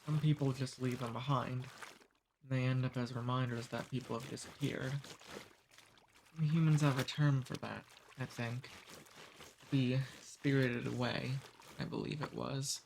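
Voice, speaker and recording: A man voicing a friendly, centuries-old male demon in an ASMR roleplay. He talks calmly and teases gently. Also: mid-range voice, measured pace, creaky, a little hiss or hum, rough recording. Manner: calm, friendly, gently teasing.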